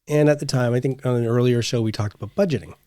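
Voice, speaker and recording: A man's voice: mid-range voice, quick pace, dark tone, no room sound, studio quality. A middle-aged man chatting casually as a podcast co-host. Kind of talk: casual conversational chat.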